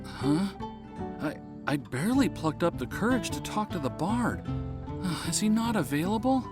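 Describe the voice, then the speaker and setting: A man's voice: high voice, sing-song, unhurried, over music. A man voicing a shy, earnest young man in love in a video game, with a hesitant, stammering delivery. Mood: flustered. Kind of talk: video game character acting.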